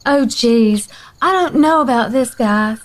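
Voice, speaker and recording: A woman's voice: on the high side, a little slow, close to an everyday voice. An actress playing a nervous, snarky young woman exploring with friends in an audio drama, with a jittery manner.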